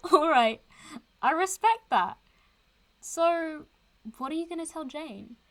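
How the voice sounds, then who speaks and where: A cheeky young woman in an audio drama, with a highish, very lively voice that swings up and down. She is informal, irreverent and teasing, chatting as if to her followers. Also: takes it slowly, exaggerated voice. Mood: teasing, agreeable. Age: young adult. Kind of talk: audio drama acting, vlog-like casual chat.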